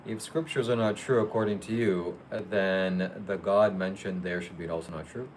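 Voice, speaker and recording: Man, livestream host, somewhat deep voice, reads questions aloud, short plain answers, low-key. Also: steady tone, moderate pace, a little hiss or hum, rough recording. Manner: matter-of-fact, low-key. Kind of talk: casual live Q&A.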